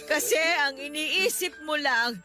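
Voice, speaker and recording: A woman's voice: sits high, takes it slowly, music in the background. A woman acting as a grieving nanny in a radio drama, scolding bitterly and tearfully.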